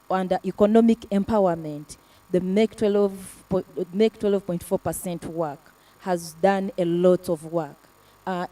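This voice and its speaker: A woman advocate giving earnest testimony about a program, speaking haltingly with fillers and restarts. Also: mid-range voice, dark tone, distant mic. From Africa. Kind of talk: panel testimony.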